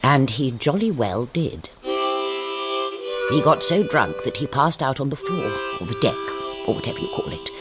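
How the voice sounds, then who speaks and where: A man's voice: medium pitch, sing-song, moderate pace, loud music behind the voice, lightly acted. A man narrating a radio drama in a wry, dryly humorous manner. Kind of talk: scripted narration.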